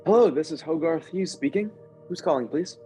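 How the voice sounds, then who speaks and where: A man's voice: a little high, brisk, dark tone, soft background music, close to an everyday voice. A man playing a plucky, earnest young boy in a screenplay table read. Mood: dutiful.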